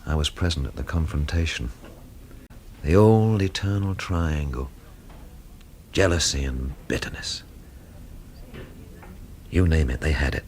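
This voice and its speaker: Deep-voiced, world-weary, sardonic drinker. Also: male voice, a little slow, long pauses, darkish tone, slight background noise. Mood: bitter, earnest. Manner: dry, sardonic, literate phrasing. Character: world-weary, wry hard-drinking male storyteller, brooding and sardonic. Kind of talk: radio drama narration and dialogue.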